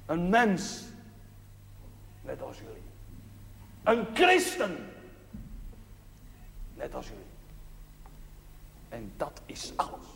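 An actor playing a plain-spoken, earnest village chaplain, preaching a dramatic sermon in short, deliberate phrases. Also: male voice, a little high, wildly swooping pitch, gravelly, a bit bright, full character voice. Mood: fervent. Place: large reverberant interior. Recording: echoey church hall, noisy old TV audio.

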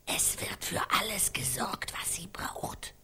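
A radio play prompter, a woman feeding lines in a breathy, raspy whisper. Her delivery is slow and insistent, with a sharp, hissing edge. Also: mid-range voice, lively intonation, heavily acted. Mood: hushed, insistent.

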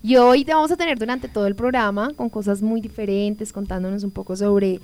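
A woman's voice: medium pitch, steady tone, brisk, darkish tone. A woman hosting a radio interview, guiding her guest and restating points for the listeners in a friendly way. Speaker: radio host.

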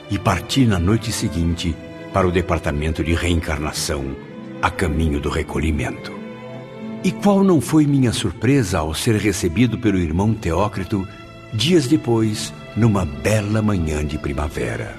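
Camilo, deep-voiced solemn Brazilian narrator. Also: male voice. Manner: solemn, formal. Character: Camilo, earnest, sorrowful male spirit telling his story. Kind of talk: dramatic scripted narration in radio soap.

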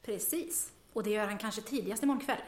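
An actress playing a brisk, unflappable plotter in an audio drama, wry and matter-of-fact, in an echoey room. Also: female voice, medium pitch, lightly acted. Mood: wry, practical. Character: brisk, unflappable, pragmatic woman resistance schemer. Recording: echoey room, faint noise.

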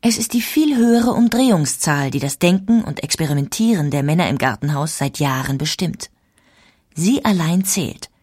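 A woman's voice: deep voice, lively intonation, moderate pace, darkish tone, husky, dry recording, studio quality. A woman narrating a documentary audiobook with dramatic emphasis.

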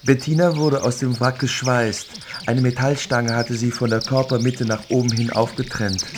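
A man's voice: medium pitch, steady tone, normal speed, noisy background, close to an everyday voice. An actor playing a young man who narrates a bleak love tragedy in a matter-of-fact way, in a literary radio drama. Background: music behind the voice.

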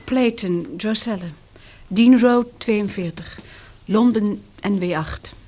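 A husky, wry young survivor. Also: female voice, medium pitch, slow, mellow. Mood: dry, wry. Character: wry, plucky 22-year-old female catastrophe survivor. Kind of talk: Dutch radio drama acting.

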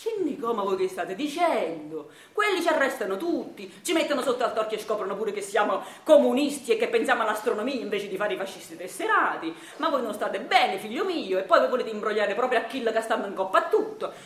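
A woman's voice: fairly high pitch, very animated, a little fast, few pauses, reverberant. A woman voicing a cautious Neapolitan male accomplice in a radio play, protesting and placating, with a Neapolitan accent. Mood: worried protest. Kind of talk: theatrical radio monologue acting.

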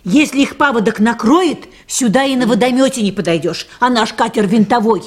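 A woman's voice: a little high, a little fast, lightly acted. An actress playing a motherly middle-aged boat mechanic in a radio play, worried and grumbling in a folksy way. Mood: anxious, scolding. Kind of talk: 1980s Soviet radio play acting.

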